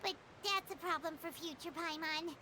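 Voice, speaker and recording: A woman voicing a tiny, excitable, childlike floating fairy girl in an anime video game, with a squeaky voice. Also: normal speed, very noisy. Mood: puzzled, concerned. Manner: speaks of herself in third person. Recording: loud background music.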